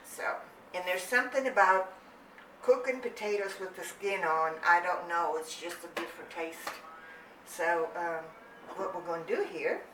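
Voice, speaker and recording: A woman's voice: on the low side, background noise. An older woman from Germany hosting a home cooking channel, explaining a family recipe step by step in a chatty, homey way. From Germany, Rhineland-Palatinate. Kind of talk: casual cooking demonstration. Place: home kitchen. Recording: echoey room, voice from a distance.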